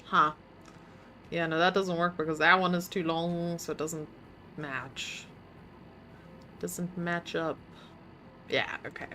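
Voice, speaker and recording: A woman's voice: lowish voice, fairly even intonation, slow pace, long pauses, sharp tone, a little hiss or hum. A woman streamer casually commenting on her game, muttering to herself while solving puzzles and chatting with viewers.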